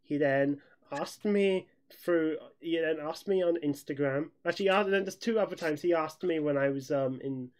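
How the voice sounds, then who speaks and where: Excitable higher-pitched young man chatting. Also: moderate pace, few pauses. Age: young adult. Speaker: podcast co-host. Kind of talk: casual chat, telling anecdotes.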